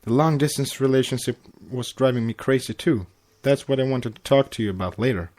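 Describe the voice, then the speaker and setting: A man's voice: medium pitch, a little fast, a little mellow, close to an everyday voice. A man reads the part of a tender young female pony, bookish and in love. The lines are affectionate and romantic, told as a cartoon fan fiction storybook.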